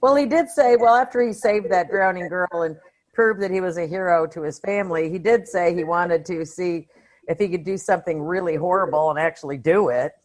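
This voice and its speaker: A woman's voice: lowish voice, brisk. Chatty middle-aged woman on a podcast. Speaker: podcast co-host. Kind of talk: casual film discussion.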